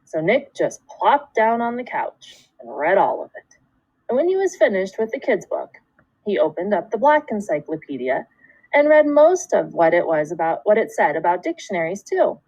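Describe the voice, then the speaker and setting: Female schoolteacher for a children's read-aloud: animated intonation, deliberate storytelling phrasing, dry room sound. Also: medium pitch, no room sound, poor audio. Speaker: second grade teacher.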